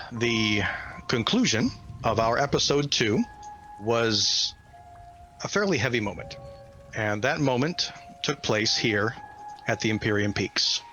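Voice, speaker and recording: A man's voice: medium pitch, bright, forceful tone. Male game master storytelling over music. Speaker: game master, host and game developer. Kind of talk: hosting and storytelling for a tabletop game.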